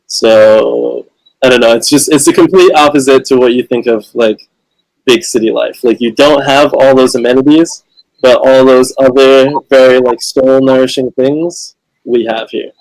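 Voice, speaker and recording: A man's voice: mid-range voice, fairly even intonation, moderate pace, a little mellow, no echo, low-quality recording. A young man from England with a mixed British, Dominican and American accent, talking reflectively as a filmmaker guest in a casual interview. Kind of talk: casual live-stream interview.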